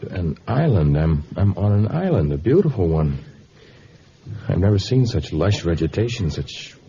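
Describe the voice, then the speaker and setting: An actor playing a proud, earnest jungle hero with formal, stilted delivery in a vintage radio drama. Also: male voice, sits low, very animated, moderate pace, warm tone. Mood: wondering. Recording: muffled, noisy old radio recording.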